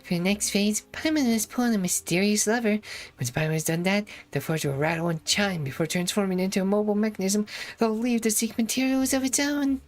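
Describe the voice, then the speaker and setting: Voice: mid-range voice, brisk, close to an everyday voice. A streamer voicing a small childlike floating companion from a game, chirpy, eager and suspicious. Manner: bright third-person patter, eager. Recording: background music.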